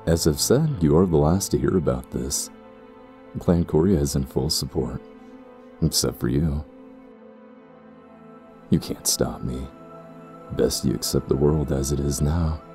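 A man's voice: extremely low pitch, unhurried, long pauses, mellow, soft background music. A man playing a cold, condescending and ruthless warrior clansman in an audio roleplay, speaking in a haughty, formal way. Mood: cold contempt. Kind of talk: scripted audio roleplay acting.

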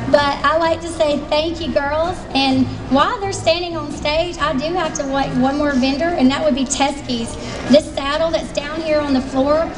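A high-pitched woman with a Southern US accent emcees a fashion show in a large expo hall, chatty and folksy as she plugs each item. Her voice comes over a PA from a distance. Loud music plays behind her. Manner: chatty, folksy sales patter with fillers. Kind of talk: announcing a live fashion show.

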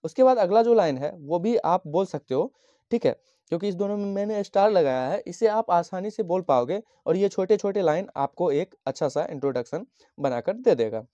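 A man from Bihar teaching a lesson, explaining slowly and patiently and repeating each phrase as he dictates sample lines. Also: high-pitched, animated, warm tone, no room sound. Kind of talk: handwritten English lesson explained in Hindi. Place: at a desk, writing on a notebook page. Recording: dull, lo-fi dry sound.

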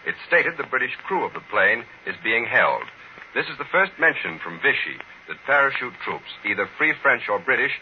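1940s American newsman on muffled, noisy radio. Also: mid-range voice. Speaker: radio news anchor. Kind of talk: 1940s radio news reading.

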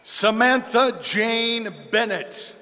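A man announcing graduate names at a podium microphone in a large indoor arena, reading each name slowly and clearly. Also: very high voice, steady tone, bright, forceful tone. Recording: long reverb of a large arena.

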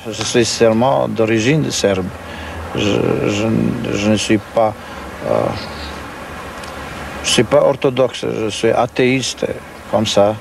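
Gravelly-voiced man. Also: on the low side, far from the mic, noisy background. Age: 50s. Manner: halting, searching for words. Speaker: army commander interviewed. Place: outdoors in a town.

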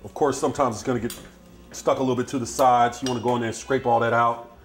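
Casting: middle-aged man, laid-back kitchen host, chatty and unhurried, faint music in the background. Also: mid-range voice. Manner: relaxed, chatty, talks while cooking. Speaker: cooking show host. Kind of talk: casual cooking demonstration. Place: home kitchen, indoors.

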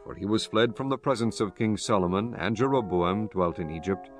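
A male narrator with a fairly low voice reads scripture as drama. His delivery is formal and solemn, and music plays softly behind him. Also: fairly quick.

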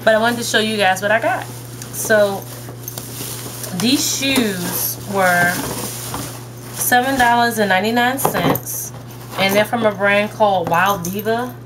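A woman's voice: mid-range voice, unhurried, long pauses. A young woman talks straight to her webcam from her bedroom, showing viewers what she bought. Her delivery is chatty and upbeat, with a friendly, mid-range voice. A faint hiss of background noise sits under the recording. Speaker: haul vlogger. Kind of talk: casual shopping haul chat. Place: bedroom, indoors. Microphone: webcam at desk distance.

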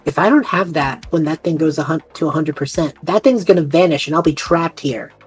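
A woman's voice: deep voice, fairly quick, husky, faint music behind. A woman voicing an awkward, casual young male traveler in a tabletop roleplaying game. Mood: urgent.

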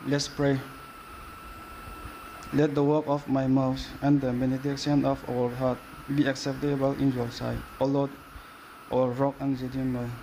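A young man preaching a student sermon from a pulpit in a chapel hall, reading his prepared notes at a measured pace with small slips. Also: mid-range voice, flat delivery, dark tone, a little hiss or hum.

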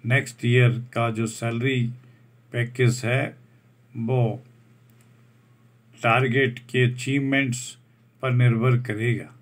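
A man reads out a job advertisement over a document, slowly restating each English term in Hindi. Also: medium pitch, fairly even intonation. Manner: slow, deliberate, restates each point in Hindi after English terms. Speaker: job vacancy channel host.

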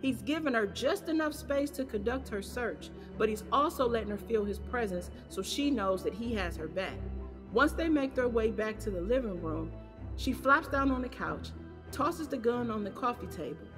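A woman's voice: a little high, lively intonation, normal speed. A woman narrating an audio drama, reading scripted present-tense scene descriptions. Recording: long reverb, music behind. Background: music.

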